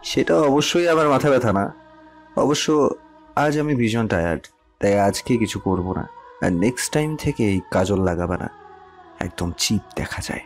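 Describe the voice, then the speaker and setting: A man's voice: on the low side, lively intonation, normal speed, pauses often. Man acting a cold, domineering rich man, music behind. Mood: dismissive. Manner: curt, commanding, contemptuous. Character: cold, domineering rich man bullying his kept mistress. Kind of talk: romantic audio story acting. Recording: music under the voice.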